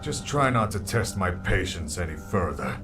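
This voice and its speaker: A man voicing a smug, cruel male jackal villain who taunts in a drawn-out, sneering way. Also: low voice, very animated, music in the background. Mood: irritated.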